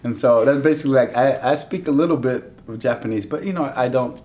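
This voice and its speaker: A man's voice: mid-range voice, fast talker, slight background noise. A middle-aged man, a voice actor, chatting in an interview, acting out quotes and laughing easily in a dim hotel room. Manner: animated storyteller, giddy laughter, acts out quotes. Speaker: voice actor guest. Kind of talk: casual interview chat.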